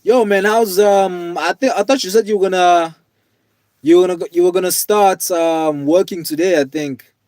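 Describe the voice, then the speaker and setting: A man in his twenties, tired, chatting casually with viewers on a live stream in a relaxed, rambling way with lots of fillers. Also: high voice, moderate pace, dry recording. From South Africa. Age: 20s. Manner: laid-back, rambling, many fillers, calls viewers man and bro.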